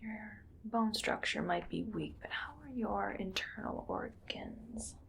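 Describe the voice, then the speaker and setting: Female mad scientist for ASMR roleplay, acted by a woman: slow whisper, cold, detached, clinical muttering. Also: medium pitch, vocal fry, a bit bright. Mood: detached, disappointed.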